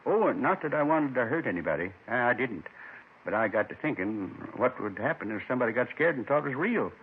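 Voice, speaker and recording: An actor playing a lonely, gentle man in his seventies, folksy and wistful, confessing in short rambling phrases in 1950s radio drama style. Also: medium pitch, expressive, fast talker, husky. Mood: remorseful. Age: 70s. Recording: muffled 1950s radio recording.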